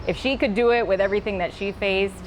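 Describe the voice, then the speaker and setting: A woman's voice: medium pitch, fairly even intonation, quick pace, a little hiss or hum, rough recording. A woman, a news anchor, speaking earnestly and emphatically in a casual, heartfelt panel conversation. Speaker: TV news anchor and working mother.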